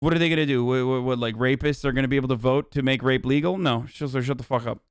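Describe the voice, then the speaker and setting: A man streaming live reaction commentary, exasperated, argumentative and quick to swear. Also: mid-range voice, clear, resonant tone, no echo, studio quality. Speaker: streamer host.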